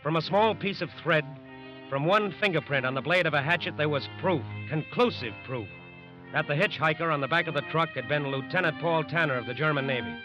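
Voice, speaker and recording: A man's voice: a little high, brisk. A man narrating a 1940s radio crime drama in an emphatic, suspenseful scripted style. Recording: muffled old radio recording with music. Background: music.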